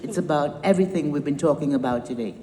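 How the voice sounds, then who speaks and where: A woman's voice: low voice, fast, background noise. A woman from Trinidad with a Trinidadian accent, founder of a nonprofit giving an emphatic, rousing speech with wry humor. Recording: echoey hall with long reverb.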